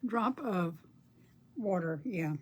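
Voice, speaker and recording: A woman's voice: mid-range voice, animated, slow pace, husky. An older woman, chatting on a crafting livestream, reading viewer comments and murmuring to herself as she paints. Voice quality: soft-spoken. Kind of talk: casual livestream chat while painting.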